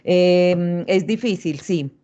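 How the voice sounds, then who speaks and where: A woman hosts a radio interview. Her voice is somewhat low and mellow, and her delivery is thoughtful. The recording sounds a little muffled. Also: slow. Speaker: interviewer on a school radio station.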